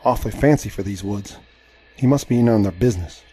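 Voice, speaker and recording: A man's voice: fairly low pitch, fast, dark tone, slightly rough. An actor playing a proud, indignant Southern crossbowman of the 1870s in an audio drama, with formal, theatrical speech. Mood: tense muttering. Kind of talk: audio drama with first-person narration.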